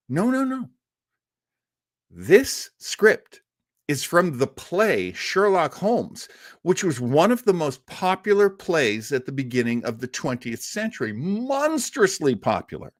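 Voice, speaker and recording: Man, livestream host, lively swooping pitch, dry close sound, rambling casual chat. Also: no echo. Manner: animated, rambling, with frequent laughs and restarts. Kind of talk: casual chat with live chat viewers.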